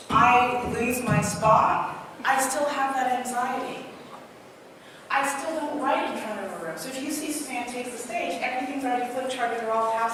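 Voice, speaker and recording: Trinidadian woman, high voice, motivational stage talk, energetic, echoey hall heard from a distance. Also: background noise. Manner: energetic, asks the crowd questions, tells personal stories. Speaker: motivational speaker. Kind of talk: live stage talk to an audience. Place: large hall with an audience.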